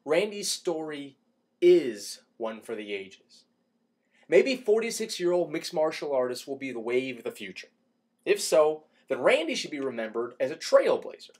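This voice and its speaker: Guy doing a dramatic column reading, kinda husky, voice jumps all over, quiet then punchy. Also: on the high side, very animated, moderate pace. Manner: emphatic, rhetorical, swings between hushed and punchy. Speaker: radio show host reading an opinion column.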